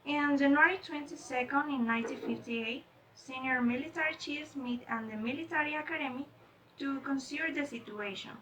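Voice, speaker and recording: High, bright-voiced woman presenting from afar. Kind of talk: scripted presentation read aloud. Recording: distant microphone.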